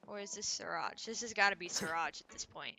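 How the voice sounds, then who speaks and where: A woman's voice: on the low side, brisk, gravelly, pushed and bright, slight background noise. A woman giving casual live gameplay commentary, musing and thinking aloud. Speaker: game streamer. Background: game music.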